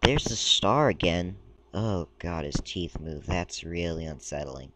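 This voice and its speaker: A man casually commenting while browsing a game page, talking in a rambling, halting way and stumbling as he reads aloud, a little sick. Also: low-pitched, animated, a bit bright. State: a bit sick. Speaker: gaming video creator. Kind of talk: casual screen-recording commentary.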